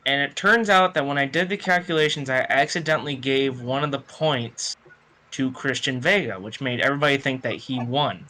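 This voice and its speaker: A man's voice: mid-range voice, moderate pace, sharp tone, low-quality recording. A man on a casual panel podcast, talking bluntly and combatively with plenty of swearing. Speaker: podcast panelist explaining a tiebreaker.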